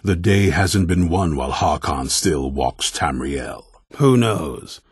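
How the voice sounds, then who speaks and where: An actor playing a stern, suspicious vampire-hunter commander in a fantasy video game, blunt and commanding, with a gruff gravelly voice. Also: male voice, expressive, takes it slowly, a little mellow, dry recording, studio quality, heavily acted. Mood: gruff, grudging. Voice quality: very deep, gravelly, raspy. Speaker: leader of a vampire-hunting order.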